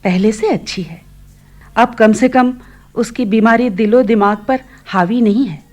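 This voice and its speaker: A woman's voice: fairly high pitch, measured pace, darkish tone. An actress playing an anxious, grateful mother in a radio play, polite and earnest. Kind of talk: Hindi radio play acting.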